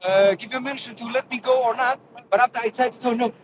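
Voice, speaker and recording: A man's voice: extremely high pitch, steady tone. A young man from Iraqi Kurdistan with a Kurdish accent, chatting casually and often restarting his phrases. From Kurdistan region of northern Iraq. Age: young adult. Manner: halting, repetitive phrase restarts. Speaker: passer-by student being interviewed. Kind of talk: casual chat. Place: outdoors on a motorway bridge. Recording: loud outdoor traffic noise. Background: passing vehicles.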